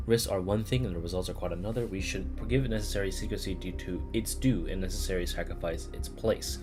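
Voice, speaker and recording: Low-voiced man streaming over music. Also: a little fast. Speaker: game streamer. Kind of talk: reading game text aloud and casual commentary. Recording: clear game music behind voice.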